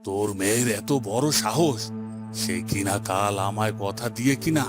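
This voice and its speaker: A man's voice: fairly low pitch, unhurried, natural voice. A man voicing a domineering village headman and father in a melodramatic cartoon, stern and imperious. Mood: angry, scornful. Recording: background music under the voice.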